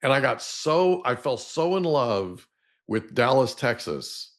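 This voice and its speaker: Chatty middle-aged American podcast host. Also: male voice, mid-range voice, very animated, slow. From Oklahoma, United States. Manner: chatty, informal, folksy, rambling into anecdotes. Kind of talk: casual interview chat.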